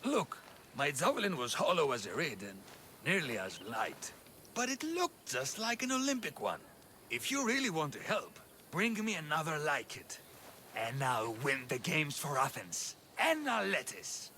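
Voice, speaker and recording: A man's voice: a little high, big pitch swings, measured pace, pushed and bright, slight background noise, full character voice. An actor playing a sheepish, evasive male athlete in a video game. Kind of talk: video game cutscene acting.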